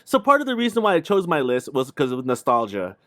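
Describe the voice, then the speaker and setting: Excitable guy hosting a stream, kinda high voice, lots of slang, dry close sound. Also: fast talker, dry recording. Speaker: host of a retro pop culture livestream. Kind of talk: casual chat with co-hosts and viewers.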